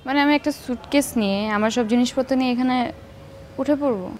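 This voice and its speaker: A woman's voice: sits high, fast talker, slight background noise, natural voice. A woman with a Bangladeshi Bengali accent playing a teasing, sceptical young girlfriend in a TV drama, coy and chiding as she questions. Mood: sceptical, firm. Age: young adult. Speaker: actor. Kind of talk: naturalistic TV drama dialogue.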